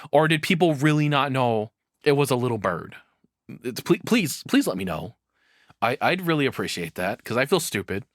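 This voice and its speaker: A man's voice: mid-range voice, very animated, normal speed, no room sound, studio quality. A man giving casual reaction commentary as a streamer, rambling along and swearing freely.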